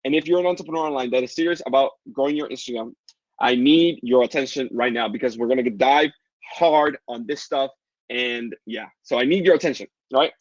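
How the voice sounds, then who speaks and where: A man's voice: medium pitch, a little fast, no room sound. A young man with a Dominican Spanish accent, a native Spanish speaker, coaching a live webinar in a pushy, hyped sales patter full of fillers. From Dominican Republic. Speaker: online marketing coach. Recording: dull lo-fi dry sound.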